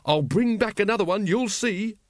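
A shrill, paranoid usurper, acted out by a male narrator, pitched high and swooping wildly. He boasts and rants petulantly, a storybook villain losing control. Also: animated, normal speed, dry recording, studio quality. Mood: defiant, agitated. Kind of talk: audiobook character acting.

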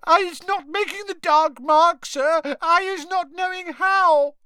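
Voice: very high voice, measured pace, bright, forceful tone, dry recording, clean studio sound, pitched above the performer's own voice, heavily acted. A narrator voicing a frightened little female house-elf who squeals in broken grammar. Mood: pleading. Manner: broken grammar, pleading, trembling.

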